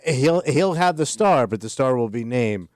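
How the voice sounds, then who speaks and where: A man's voice: mid-range voice, expressive, moderate pace, no room sound. A man co-hosting a radio show, teasing and needling the host. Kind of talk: morning radio banter.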